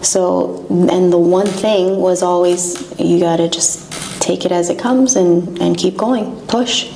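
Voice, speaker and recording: A woman from Canada being interviewed as a guest, speaking in short, halting phrases. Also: lowish voice, fairly even intonation, creaky, warm tone. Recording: echoey room with faint noise.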